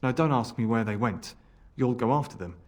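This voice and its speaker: A man playing an anxious, gloomy scholar's assistant in a video game, halting and fretful. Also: mid-range voice, lively intonation, darkish tone, lightly acted. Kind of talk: video game character acting.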